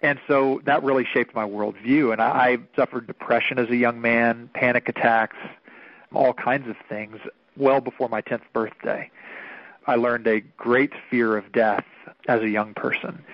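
Minister, late thirties, from Oklahoma; earnest, reflective interview guest, dull radio sound. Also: male voice, medium pitch, fairly even intonation, dry recording. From Oklahoma, United States. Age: 30s. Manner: earnest, thoughtful, measured storytelling.

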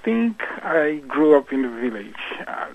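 A man's voice: on the high side, very animated, a little slow, slight background noise. A man from Cameroon, a guest musician in a radio interview, speaking thoughtfully with many fillers and restarts. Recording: tinny phone line.

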